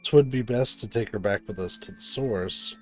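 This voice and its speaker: A man's voice: on the low side, moderate pace. A man streaming a video game with informal, sweary live commentary, sometimes reading the dialogue aloud. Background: game music.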